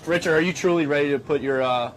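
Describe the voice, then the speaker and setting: A man's voice: fairly high pitch, fast. A man interviewing in a mock-serious, probing way on a comedy radio show. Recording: crowd chatter in the background.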